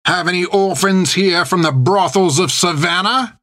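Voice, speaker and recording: A man's voice: high-pitched, moderate pace, pushed and bright, no room sound, studio quality, pitched above the performer's own voice. A man voicing a cold, scornful male pirate captain with a sneering, mocking delivery. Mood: menacing.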